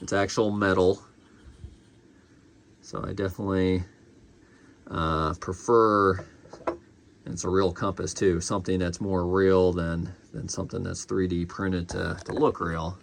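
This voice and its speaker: Unhurried man with a gravelly, creaky voice. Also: low voice, flat delivery, slight background noise. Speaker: collector reviewing a collectible. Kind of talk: casual unscripted product review.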